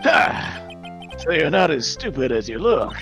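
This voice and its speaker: A man acts out a theatrical, sardonic prosecutor from a courtroom game. The voice is gravelly yet fairly high, with animated swings and a dismissive edge, over faint music. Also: measured pace. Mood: smug, dismissive. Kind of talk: live fan dub of a courtroom video game.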